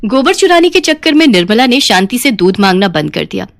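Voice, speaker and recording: Woman storyteller with mid-pitched voice. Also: big pitch swings, a little fast, creaky. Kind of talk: scripted narration of a moral cartoon story.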